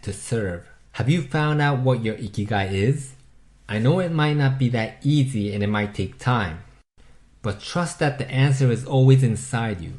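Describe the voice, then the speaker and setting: A man with a Japanese accent, a native Japanese speaker, narrating a scripted motivational voice-over in a measured, instructive way and putting questions to the listener. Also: medium pitch, darkish tone. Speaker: self-help video host.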